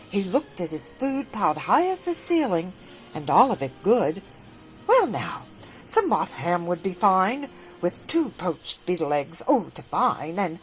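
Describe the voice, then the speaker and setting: A woman reading a rhyming children's story aloud in a gentle, sing-song manner. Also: mid-range voice, wildly swooping pitch, measured pace, faint music behind, rough recording. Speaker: narrator.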